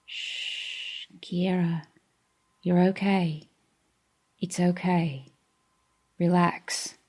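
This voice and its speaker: A woman voicing a soft-spoken worried young man. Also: on the low side, steady tone, drawn-out words, slightly rough, exaggerated voice. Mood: tender. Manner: soft, murmured and strained.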